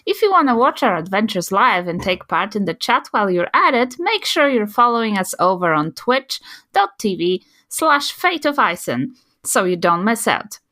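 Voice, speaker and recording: A woman with a New Zealand accent hosting a tabletop game podcast, doing a playful, energetic intro and recap. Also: mid-range voice, normal speed. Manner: lively and playful, reading promos with energy. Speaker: podcast host. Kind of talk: upbeat show intro and recap.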